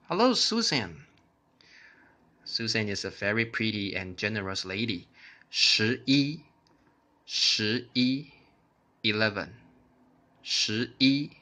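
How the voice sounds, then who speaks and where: Very slow, bright-voiced male teacher. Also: mid-range voice. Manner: slow, patient repetition, drilling each word. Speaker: language teacher. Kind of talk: online language lesson, number drills.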